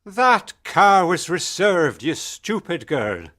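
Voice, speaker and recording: Story role: actor as furious bullying manager, harried shouts, raised pitch, big swings. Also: male voice, very animated, slow pace, full character voice. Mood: angry, panicked. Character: angry, bullying, red-faced male car hire boss. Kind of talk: acted audiobook dialogue.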